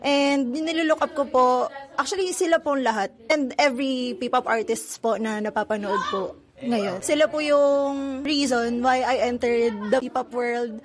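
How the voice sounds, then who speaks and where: A woman's voice: high-pitched, normal speed. A young woman from the Philippines answering interview questions, mixing English and Filipino with polite particles. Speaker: group member.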